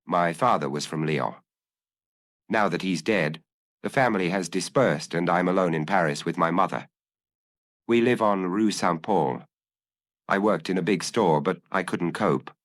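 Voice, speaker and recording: A man's voice: low voice, normal speed, a bit bright, no echo. A man voicing a guarded young Parisian waitress who gives short, matter-of-fact answers in an audiobook. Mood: guarded, plain. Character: young working-class Parisian waitress, plain-spoken and guarded.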